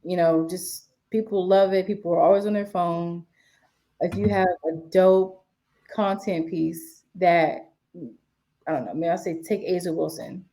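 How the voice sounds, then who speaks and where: Woman, 20s, from the DC area; relaxed, chatty podcast guest, lowish mellow voice. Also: measured pace. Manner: relaxed, chatty, many fillers and restarts. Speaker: guest, sports media writer. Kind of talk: casual interview talk.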